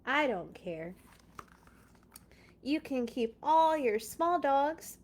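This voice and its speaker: A woman reading a children's picture book aloud in an expressive, playful way, with chatty casual asides. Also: sits high, very slow, slight background noise.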